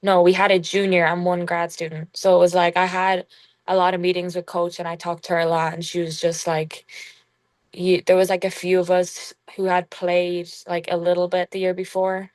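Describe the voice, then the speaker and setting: A young woman whose Irish accent has turned strongly American talks in a low, flat voice. She chats casually and haltingly, leans on fillers, and laughs easily. The sound is dry and close. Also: no room sound. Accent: Irish with a strong American tinge. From Ireland. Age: young adult. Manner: relaxed, halting, full of "like" fillers, laughs often. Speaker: college basketball player guest. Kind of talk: casual interview chat.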